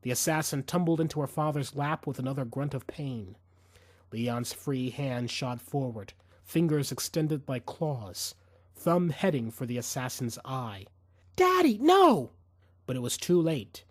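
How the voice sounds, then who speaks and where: A man's voice: mid-range voice, a little slow, a little mellow, dry recording, clean studio sound. A man narrating fiction as a dramatic audiobook reader, intense and suspenseful.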